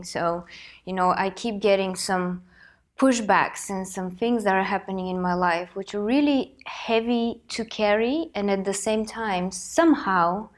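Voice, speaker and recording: A woman in her thirties from Bulgaria hosting a personal motivational talk, speaking earnestly and reflectively while she has a cold. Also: mid-range voice. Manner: earnest, reflective, thinking aloud. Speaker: host.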